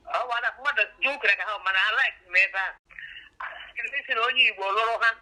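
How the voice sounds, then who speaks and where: A woman's voice: on the high side, fast, sharp tone. A middle-aged woman from Nigeria with a Nigerian accent, talking casually over a thin telephone line. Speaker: mother of the vlogger. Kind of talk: animated casual phone conversation.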